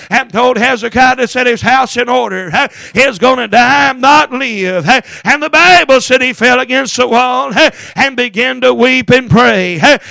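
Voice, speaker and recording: High-pitched, gravelly man preaching fervently. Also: bright, forceful tone, dry recording. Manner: punctuates phrases with gasped "huh" and repeated "dear friend". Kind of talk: fervent chanted revival-style sermon.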